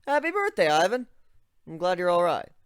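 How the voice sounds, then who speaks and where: A man's voice: sits high, huge pitch swings, moderate pace, clean tone, no room sound, pitched above the performer's own voice. A cheery, eager young griffin from a read-aloud story, voiced by a man, raising his voice upward and full of swing. The recording is close and dry. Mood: friendly.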